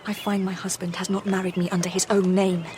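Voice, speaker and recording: A woman playing a determined young Victorian wife in a radio drama, earnest formal phrasing and hushed asides. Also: lowish voice, flat delivery, quick pace, noisy background, natural voice. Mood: pleading, insistent. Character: determined, questioning young Victorian wife turned amateur detective. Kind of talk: radio drama acting with hushed first-person narration.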